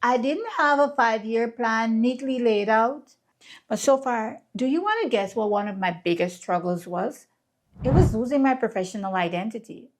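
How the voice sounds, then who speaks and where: Earnest woman, slightly high voice. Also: expressive, normal speed. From Guyana. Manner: earnest, candid and reflective. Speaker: vlogger. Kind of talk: scripted reflective vlog narration.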